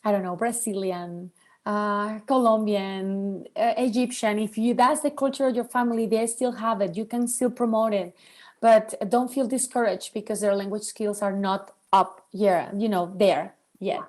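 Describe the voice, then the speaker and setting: A woman in her forties from Venezuela whose first language is Spanish, speaking as a guest about raising bilingual children in an earnest, animated way. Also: medium pitch, normal speed. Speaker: podcast guest, bilingual parenting expert. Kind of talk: interview conversation.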